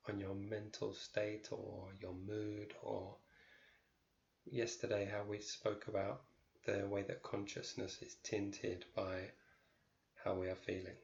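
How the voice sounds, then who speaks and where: A man leads a guided meditation in a fairly low, even voice with little melodic movement. He speaks in short, measured phrases and leaves pauses between them. The recording is roomy and somewhat muffled. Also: creaky. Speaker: meditation teacher.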